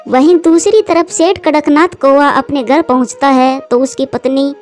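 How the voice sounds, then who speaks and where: A woman's voice: sits high, steady tone, moderate pace, faint music behind. A woman narrating a scripted children's moral story as a storyteller.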